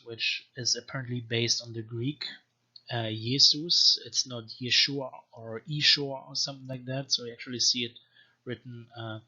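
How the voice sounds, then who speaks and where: A man giving an informal, scholarly language lecture in a deliberate, measured manner, as a teacher explaining and reading aloud. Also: medium pitch, pushed and bright. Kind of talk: informal explanatory lecture with slow read-aloud text samples. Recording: dull, lo-fi microphone sound.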